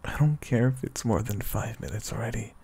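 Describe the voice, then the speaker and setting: A young man with a whispery voice speaks softly and sleepily in a teasing boyfriend roleplay, often breaking into quiet laughs. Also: medium pitch, brisk, husky. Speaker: ASMR roleplay performer. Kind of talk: soft-spoken ASMR boyfriend roleplay.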